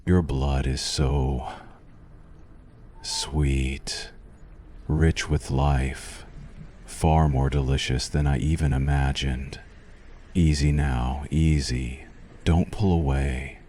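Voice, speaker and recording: An abyssally deep, dark-toned male vampire doctor played by a man speaks very slowly, as in an ASMR roleplay. He is courtly and intimate, soothing with a menacing edge. Every phrase carries a possessive, suave hunger. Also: fairly even intonation, a little hiss or hum, full character voice. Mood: savoring, seductive.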